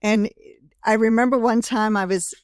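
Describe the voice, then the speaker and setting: A woman's voice: a little high, steady tone, moderate pace, darkish tone, no echo, clean studio sound. A woman who works as a coach, telling personal stories as a podcast guest, with frequent "you know" fillers. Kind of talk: conversational interview storytelling.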